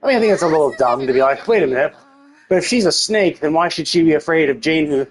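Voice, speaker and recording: A man's voice: on the high side, expressive, fast talker. A man livestreaming a game, giving casual, rambling commentary as he thinks aloud and swears freely. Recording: game music playing behind the voice. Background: video game music.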